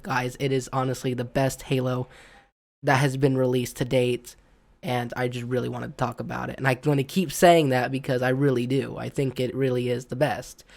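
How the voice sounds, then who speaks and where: A man hosting an unscripted gaming opinion vlog, hoarse and raspy from being sick, rambling and stumbling with lots of ums. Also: mid-range voice, a little mellow. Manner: looks around rather than at the camera. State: hoarse from illness. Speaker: gaming video host.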